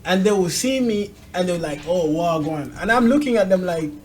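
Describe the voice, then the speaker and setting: A woman's voice: on the low side, animated, moderate pace. An outspoken woman talking frankly and emphatically in a casual, opinionated conversation. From Jamaica. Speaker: talk show host.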